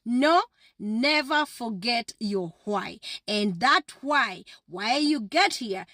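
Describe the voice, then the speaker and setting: Female, high-pitched, emphatic motivational vlogger with repetitive exhortations, dry recording. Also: lively intonation, slow pace, fairly bright tone, no echo. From Kenya. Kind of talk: motivational direct address to viewers.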